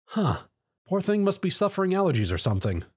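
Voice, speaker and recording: Give me a man playing a curious, folksy market mare in an audio story, raised and animated. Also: high voice, a little fast, slightly rough, pitched above the performer's own voice, exaggerated voice. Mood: concerned. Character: ordinary market seller mare, concerned and curious.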